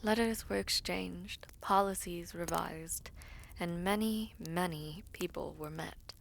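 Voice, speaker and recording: A woman playing a formal, composed villainess devoted to her prince, speaking in slow, breathy, measured phrases in a fantasy audio roleplay. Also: fairly low pitch, slight background noise. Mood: somber, reflective. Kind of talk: fantasy audio roleplay with first-person narration.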